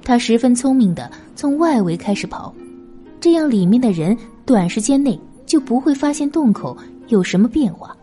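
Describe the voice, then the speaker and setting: Female audiobook narrator for historical drama: very soft-toned, animated pitch, music underneath. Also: normal speed. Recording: music behind the voice.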